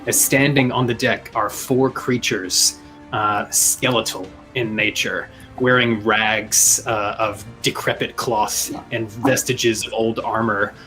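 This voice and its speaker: A man with a low-pitched voice runs a tabletop game as game master. He describes scenes in detail, feeling his way through the wording, with music playing behind him. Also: steady tone, slow, sharp tone, low-quality recording. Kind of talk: improvised tabletop game narration.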